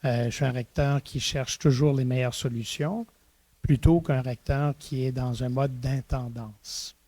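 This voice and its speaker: A middle-aged man with a mellow, warm tone speaks in a relaxed interview. He tells anecdotes with lively bursts and frequent hesitant restarts. The sound is close and dry. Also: medium pitch, unhurried, no echo. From Eastern Ontario, Canada. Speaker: university rector, guest. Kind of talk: reflective conversational interview.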